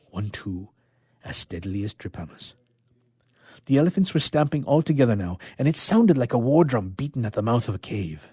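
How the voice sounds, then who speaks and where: A man narrating a story dramatically, with expressive, suspenseful delivery and phrases that drop to a hush. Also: on the low side, breathy edge, dry recording, clean studio sound. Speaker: storyteller, reader.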